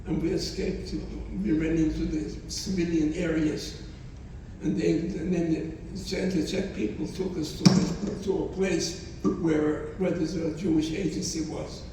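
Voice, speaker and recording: A man in his nineties, not a native English speaker, gives a halting testimony to students and often repeats his phrases. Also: a little high, little pitch movement, noisy background. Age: 90s. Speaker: Holocaust survivor speaker. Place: college hall. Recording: echoey hall from far off.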